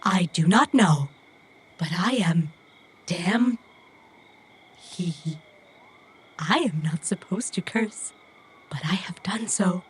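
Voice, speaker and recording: A woman's voice: fairly low pitch, expressive, slow, pauses often, slight background noise. A woman playing an earnest, childlike and stubborn runner in an audio drama, with formal, literal, precise phrasing. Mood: animated, determined. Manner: formal, stilted, precise phrasing without contractions.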